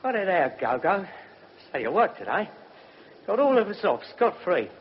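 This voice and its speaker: An actor playing a cocky, menacing gangster, taunting and slangy in a radio drama. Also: male voice, high-pitched, very animated, normal speed. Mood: jubilant, swaggering. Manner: taunting, slangy, mock-friendly, swaggering. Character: cocky, menacing British gangster, freshly acquitted. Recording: muffled, noisy old radio recording.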